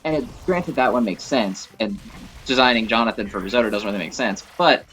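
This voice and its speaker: A man's voice: medium pitch, fairly quick, a bit bright. Man casually rambling over game music. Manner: conversational, opinionated, thinking aloud. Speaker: gaming video host. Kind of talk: casual rambling gameplay commentary.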